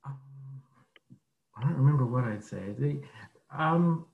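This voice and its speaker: A man's voice: medium pitch, animated, gravelly, roomy sound, phone-quality sound. Plain-spoken man in his fifties. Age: 50s. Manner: measured, plain, with ums. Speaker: podcast guest. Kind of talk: casual interview answers.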